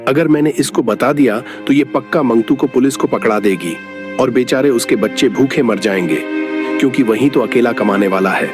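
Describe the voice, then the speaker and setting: A man's voice: medium pitch, normal speed, music in the background, lightly acted. A man playing a good-hearted, earnest village labourer in a moral story cartoon. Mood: troubled.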